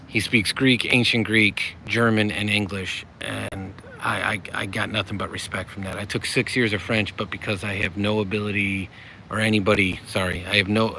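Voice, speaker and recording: A man's voice: lowish voice, pushed and bright, a little hiss or hum. A middle-aged American man hosting a casual livestream, rambling in a friendly way and reading chat messages aloud. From United States. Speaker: livestream host.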